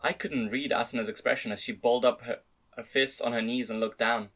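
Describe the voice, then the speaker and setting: A man's voice: mid-range voice, fairly even intonation, a little fast, a bit bright, low-quality recording. A man narrating a first-person story as an amateur dramatic reading. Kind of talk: dramatic reading of fan-read light novel narration.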